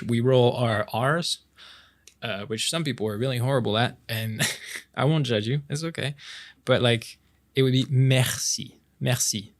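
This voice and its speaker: A man acts out a friendly, playful young French Canadian guy in an intimate romantic audio roleplay. He tutors a close friend casually, in a halting, encouraging way with drawn-out demonstrations. His pitch sits in the middle of the male range. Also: close to an everyday voice. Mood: playful, patient.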